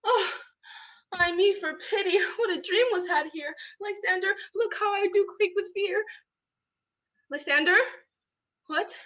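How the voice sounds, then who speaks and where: Very high, bright young heroine in a stage play, played by a woman, dull lo-fi sound. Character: young noblewoman, modest and devoted. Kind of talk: Shakespearean stage acting.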